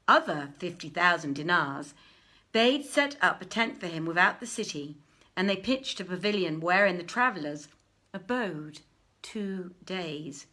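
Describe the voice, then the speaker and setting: A woman reading an old literary tale aloud as narrator, in a measured, expressive, declaiming manner. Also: lowish voice. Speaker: narrator, reader. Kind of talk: dramatic literary storytelling in archaic English.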